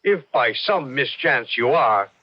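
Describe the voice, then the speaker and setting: A man's voice: medium pitch, animated, slow pace, dry recording. An actor playing a blunt, scornful man with a haughty, theatrical manner in an old-time radio drama. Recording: noisy, dull old radio recording.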